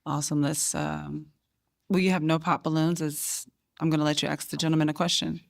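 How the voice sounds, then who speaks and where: A woman's voice: low-pitched, level tone, brisk, creaky. A woman hosting a dating show, upbeat and encouraging as she runs through her questions.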